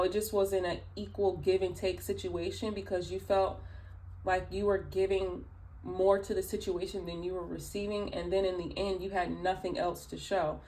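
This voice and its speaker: A woman with a raspy early-morning voice, chatting through a tarot reading with many fillers and asides. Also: on the low side, low-quality recording. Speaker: tarot reader. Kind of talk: casual spoken tarot reading.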